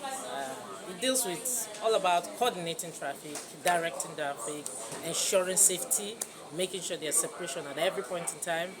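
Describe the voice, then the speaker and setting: Lowish-voiced Nigerian woman amid background chatter. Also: moderate pace. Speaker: air traffic controller. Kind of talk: interview answer describing the job. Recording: clear chatter of other voices behind.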